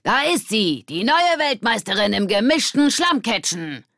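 A narrator plays a cocky teenage boy from a storybook gang. He jeers loudly in a raised, bellowing voice. The sound is dry and close. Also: medium pitch, sing-song, a little slow, vocal fry, dry recording, voice pushed up, exaggerated voice. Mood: jeering. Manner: bellowing, taunting.

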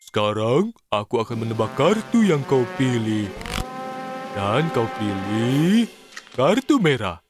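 An excitable, food-loving cartoon fellow, speaks slowly for young children. His exclamations are stretched and exaggerated, in a mid-range voice. Music plays clearly behind him. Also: medium pitch. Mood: showy. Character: excitable, good-natured male cartoon cook who loves food.

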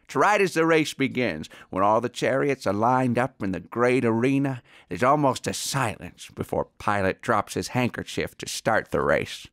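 A man's voice: mid-range voice, animated, normal speed, few pauses, fairly bright tone, no echo, studio quality. An actor playing a genteel, self-serious veteran Hollywood sound-effects artist in a comedy mock interview, savouring his words pompously. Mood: proud, earnest.

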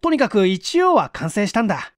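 Friendly, energetic young man of science for audiobook, male reader acting: high voice, hearty, dry close recording. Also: a little fast, no echo. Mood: eager, proud. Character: eager, friendly young male research technician. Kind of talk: acted audiobook dialogue.

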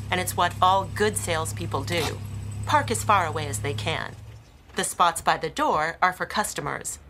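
Bright, dramatic woman audiobook narrator. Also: on the low side, slight background noise. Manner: deliberate, with dramatic pauses and emphasis.

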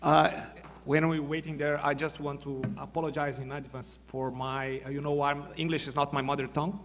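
A high-pitched Brazilian man speaking at a conference podium in a big auditorium. He talks in halting, non-native English with a Brazilian Portuguese accent, stopping and restarting often. His voice rings with a long hall reverb. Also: level tone, slight background noise. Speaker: panel speaker, army general. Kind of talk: conference presentation. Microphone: podium microphone.

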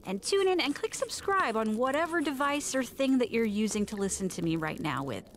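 Female actor as breezy, rambling castaway woman for comedy podcast drama; higher register, very animated delivery. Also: a little fast, slight background noise. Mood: chatty, confiding. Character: fussy, chatty woman stranded in another world. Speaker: podcast narrator character. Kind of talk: comedic audio drama acting.

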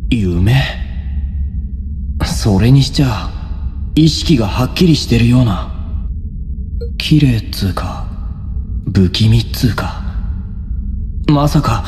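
A cool, gruff teenage boy from an anime game, speaking slowly with lively swings in pitch. He sounds wary and youthful, in a long reverb. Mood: bewildered. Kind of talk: anime video game voice acting. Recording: long reverb, faint background noise.

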